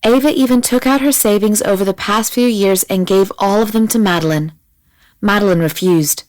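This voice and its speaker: A woman's voice: medium pitch, normal speed, darkish tone, dry recording, low-quality recording. Dry, close woman narrating an audiobook.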